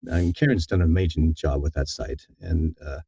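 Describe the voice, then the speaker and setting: A man giving thoughtful interview answers in a halting, deliberate way, often repeating words as he thinks. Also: extremely low pitch, gravelly, warm tone, husky, no echo, dull audio. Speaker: audiobook narrator, interview guest.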